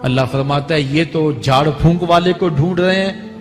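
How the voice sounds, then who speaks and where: A man delivers a fervent Islamic sermon, dramatic and exhortatory, with rhetorical questions and repetition. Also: fairly high pitch. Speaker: Islamic preacher. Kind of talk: fervent sermon with Quran recitation and commentary. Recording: echoing hall reverb with music behind.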